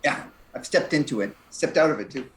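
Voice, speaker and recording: A man chatting casually as a panel guest, with dry, halting phrasing. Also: medium pitch, expressive, a little hiss or hum. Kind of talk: casual chat.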